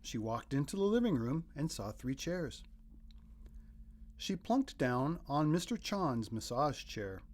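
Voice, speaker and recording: Male storybook narrator, expressive and animated, mid-pitched, for young children's picture book. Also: slow pace, a little hiss or hum.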